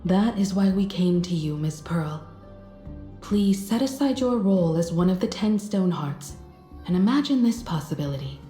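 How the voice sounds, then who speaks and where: An actress playing a poised, diplomatic space traveler in a video game, speaking politely and deliberately with earnest self-assurance. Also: female voice, fairly low pitch, lively intonation, mellow, reverberant, faint music behind, lightly acted. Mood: earnest, persuasive. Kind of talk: scripted video game character dialogue.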